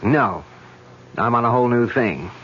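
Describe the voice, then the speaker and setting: An actor playing a self-assured, joking police detective, in a radio drama. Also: male voice, mid-range voice, expressive, moderate pace, a bit bright, slight background noise, lightly acted. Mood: breezy. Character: cocky male police detective. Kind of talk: 1970s radio drama acting. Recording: dull old radio recording.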